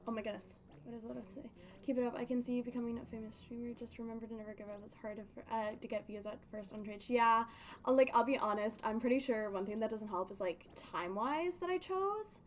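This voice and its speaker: A woman's voice: on the high side, fast talker. A woman chatting casually on a game livestream in a rambling, giggly, slightly nervous way. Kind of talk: casual game stream chat.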